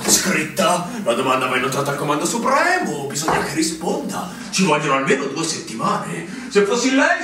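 An affable, slippery bureaucrat of an army major in a stage play. His effusive voice runs high and swoops about, echoing heavily as he talks his way smoothly around things. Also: bright, forceful tone. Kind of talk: theatrical stage acting. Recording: very echoey, distant mic, crowd sounds.

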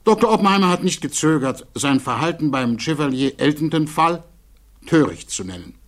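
Actor as an earnest defense lawyer guy, 1960s radio play, kinda higher voice, formal and measured, big deliberate pauses. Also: expressive, natural voice. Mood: insistent, grave. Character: defense attorney pleading earnestly before a security hearing.

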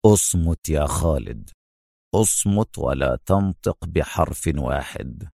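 A man voicing a stern, stubborn military officer hero in a story, speaking in a firm, measured, wry way. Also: sits low, animated, dry recording, clean studio sound, pitched below the performer's own voice. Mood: tense, stern.

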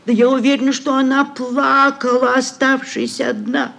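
A woman's voice: high voice, big pitch swings, normal speed, a bit bright, full character voice. An actress playing a scheming, sugary middle-aged society mother in a classic radio play, cajoling and declaiming theatrically. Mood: dramatic self-justifying. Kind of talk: classic Russian literary radio play acting.

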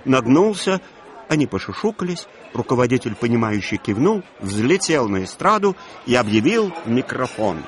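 A man narrating a literary audio play with expressive storytelling. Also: medium pitch, normal speed, a little hiss or hum.

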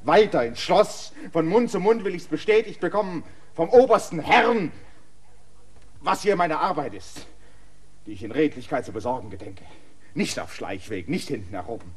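An actor playing a stubborn land surveyor in a radio play, insistent and emphatic. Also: male voice, on the high side, expressive, moderate pace, lightly acted.

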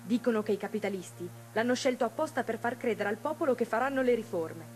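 Actress as loyal woman revolutionary, urgent news-bringer, radio drama, very quick delivery, slightly high voice. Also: animated, a little mellow, faint music behind, lightly acted. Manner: urgent, reporting briskly. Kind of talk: theatrical radio drama dialogue.

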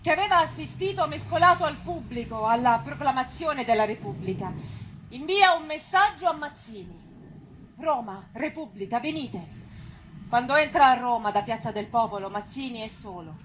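A woman's voice: sits high, expressive, normal speed, fairly bright tone, a little hiss or hum, rough recording. A woman delivering scripted theatrical narration of historical events.

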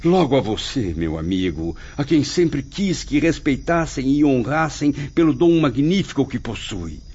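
A man's voice: medium pitch, big pitch swings, a little slow, a little mellow, breathy edge. A man playing the melancholy, proud spirit of a dead writer in a radio soap, with theatrical, literary lamenting. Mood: dejected, wounded. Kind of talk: dramatic radio soap acting. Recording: muffled radio drama with faint music.

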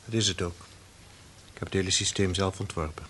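An actor playing a stern, cornered security official in an old, faintly noisy Dutch radio play. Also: male voice, low voice, fairly even intonation, fairly quick, fairly bright tone. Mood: stern, tense. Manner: curt, formal, defensive.

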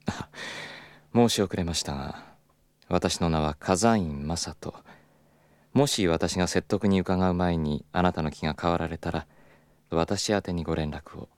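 Very deep-voiced, self-assured executive. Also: male voice, darkish tone, dry recording. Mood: confident, persistent. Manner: polite, unhurried, insinuating formal business speech. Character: smooth, persistent, self-assured male club executive from Kyoto. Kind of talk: Japanese audio drama acting.

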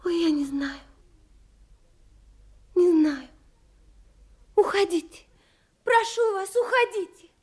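A shy, lovelorn girl in a radio play. Her voice is extremely high and fragile, and she speaks slowly, in timid, halting phrases. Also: expressive, exaggerated voice. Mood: distressed. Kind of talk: audio drama acting.

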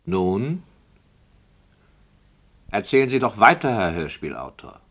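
A brisk, obliging director in a vintage radio drama. The voice is fairly low, with lively swings in intonation and a formal manner. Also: male voice, full character voice. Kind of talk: 1960 German radio play acting.

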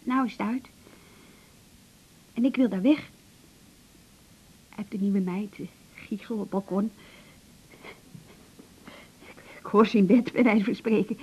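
An actress playing a depressed, pleading woman patient in a radio drama, halting and stammering in short broken phrases. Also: dark tone, slightly rough, muffled recording. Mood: anxious, pleading. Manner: halting, stammering, repeats words, breaks into short fragments. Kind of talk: naturalistic 1980s Dutch radio drama acting. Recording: noisy older radio recording with rumble.

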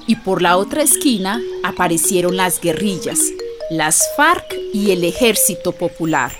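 A woman's voice: fairly low pitch, lively intonation, unhurried. A woman giving dramatic, storytelling scripted narration for a radio drama. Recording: clear music bed behind.

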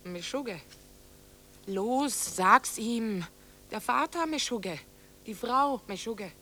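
An actress in a German radio drama playing a haunting, taunting wife who coaxes in a hushed, intimate, sometimes whispering way. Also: female voice, fairly high pitch, expressive, slow pace, full character voice. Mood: insistent.

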